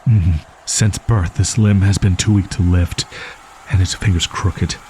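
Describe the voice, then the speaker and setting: A man's voice: low voice, steady tone, unhurried, darkish tone, slight background noise. A man voicing a proud, easily flustered young wolf-boy in a roleplay audio drama, with stilted archaic diction and defensive stammering. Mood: stern, threatening. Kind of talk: roleplay audio drama monologue addressed to listener.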